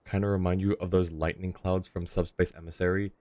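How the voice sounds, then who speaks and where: Deep-voiced streamer chatting over gameplay. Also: male voice, little pitch movement, brisk, darkish tone, no room sound. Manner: casual, chatty, reacting to the game. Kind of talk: live gameplay commentary.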